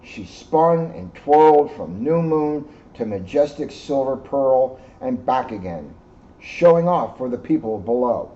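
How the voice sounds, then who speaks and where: Male storybook reader, police officer, relaxed warm timbre, lo-fi recording. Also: medium pitch, measured pace. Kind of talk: children's storybook read-aloud with casual asides. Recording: dull lo-fi sound, faint background noise.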